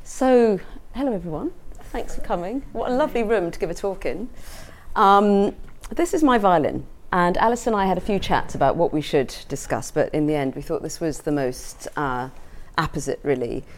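A woman's voice: fairly low pitch, expressive, moderate pace. A middle-aged woman with a southern English accent, giving an informal, reflective personal talk to an audience in a conversational way. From England.

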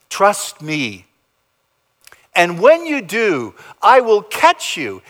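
A man preaching a sermon as pastor, in a dramatic style that swings between loud emphasis and soft, low endings. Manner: dramatic preaching with big swings in pitch and loudness. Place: church sanctuary.

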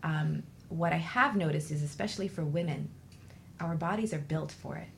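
A woman with a low, gravelly-edged voice hosts a conversational radio show. She talks in a reflective, explanatory way, checking in as she goes. A faint noise sits under the recording. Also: normal speed. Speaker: talk show host.

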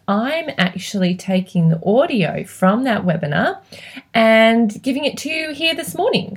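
Female host, medium pitch, informal solo coaching presentation. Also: darkish tone, breathy edge. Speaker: podcast host and parenting coach.

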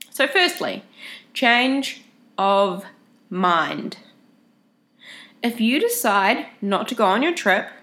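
A woman's voice: mid-range voice, fairly bright tone. A woman with a head cold and a nasal voice, giving casual, chatty travel advice as a vlogger, explaining things step by step. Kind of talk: casual advice talk to camera.

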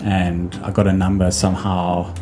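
A man's voice: low voice, completely flat delivery, normal speed, music in the background. A man talking casually as a musician guest in an interview, easygoing and chatty with fillers and restarts.

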